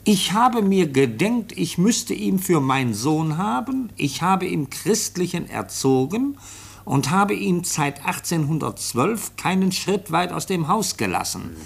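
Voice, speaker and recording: A man playing a police official in a radio play, matter-of-fact and explanatory, with a husky voice. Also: high voice, big pitch swings, full character voice. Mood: deliberate reading. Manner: matter-of-fact, explanatory, slow and deliberate when reading aloud. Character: brisk male police official reporting on a case.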